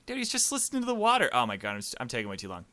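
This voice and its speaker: A man's voice: medium pitch, huge pitch swings, racing, sharp tone, no room sound. A man reading a visual novel aloud as a gaming host, with joking, irreverent asides. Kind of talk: let's play, dramatic reading mixed with joking commentary.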